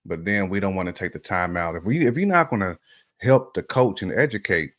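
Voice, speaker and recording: A man with a low-pitched voice shares his views in a casual discussion. He speaks emphatically, circling back and repeating phrases to press his point. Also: brisk, fairly bright tone. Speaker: podcast guest panelist giving opinions. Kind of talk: casual conversational opinion talk.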